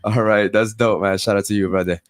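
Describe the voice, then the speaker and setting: A man hosting a podcast panel in a casual, laid-back way, often saying "man" and giving shout-outs. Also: on the low side, steady tone, fairly quick, no echo, studio quality. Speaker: podcast host.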